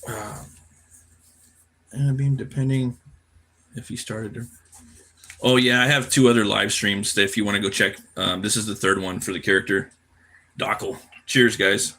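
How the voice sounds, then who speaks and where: A man's voice: lowish voice, flat delivery, moderate pace, pauses often, sharp tone. A tired man chatting casually on a livestream while he draws, laid-back and slangy, half muttering to himself. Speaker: cartoonist live streamer.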